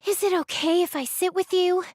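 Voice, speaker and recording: A woman's voice: very high-pitched, steady tone, normal speed, a little mellow, dry recording, clean studio sound. A woman voicing a cheerful, dreamy young bug-loving girl in a video game, rambling in a carefree, giggly way. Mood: laid-back wonder. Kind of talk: English game voice acting.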